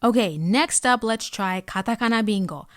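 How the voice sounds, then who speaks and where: A woman's voice: mid-range voice, normal speed, dry recording, studio quality. A woman giving a scripted language lesson as a tutor, guiding pronunciation practice with carefully enunciated examples. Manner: clear instructional delivery, carefully enunciating example sounds.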